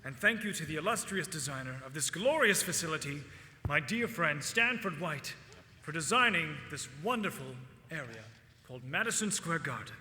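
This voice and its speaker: An actor playing a proud, fervent visionary inventor, declaiming grandly and formally in a stage musical. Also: male voice, fairly high pitch, expressive, moderate pace. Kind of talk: theatrical stage oration. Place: stage of a reverberant theatre. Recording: live theatre hall reverb, loud music.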